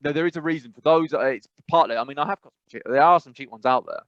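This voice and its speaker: A man's voice: fairly high pitch, quick pace, clean tone, dry recording. A man co-hosting a casual gaming podcast, rambling and opinionated, with lots of restarts. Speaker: podcast co-host. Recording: dull, dry sound.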